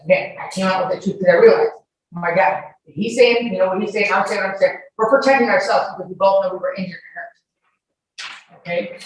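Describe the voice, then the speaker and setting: A woman giving testimony in court, defensive and argumentative, cutting in and restarting her answers haltingly. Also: mid-range voice. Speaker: witness testifying. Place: courtroom hearing. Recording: echoey courtroom, distant, noisy microphone.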